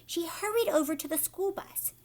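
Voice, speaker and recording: A woman reading a children's story aloud like a teacher, expressively, pausing to ask her young listeners questions. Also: very high voice, darkish tone.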